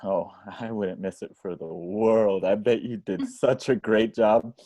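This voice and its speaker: An irritable, brash movie actor as a man reads him in an amateur film script reading. His voice sits fairly low in a dry recording, with casual, halting phrasing. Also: warm tone, no room sound. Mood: placating. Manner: casual, halting, swearing when annoyed.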